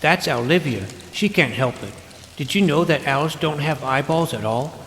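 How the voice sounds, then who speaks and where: A man's voice: fairly high pitch, expressive, moderate pace, a bit bright, a little hiss or hum. A man playing a naive, self-doubting, geeky boy who narrates his fantasy adventure in a wry, youthful, earnest way. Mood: eager. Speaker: narrator and lead character. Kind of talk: first-person scripted fantasy narration and dialogue. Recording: music behind the voice.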